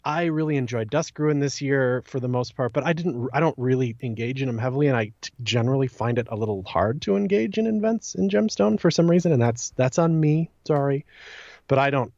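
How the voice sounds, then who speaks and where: A man's voice: medium pitch, a little mellow, no echo, lo-fi sound. A man talking casually on a podcast in an informal discussion. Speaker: podcast co-host or guest. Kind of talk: casual chat.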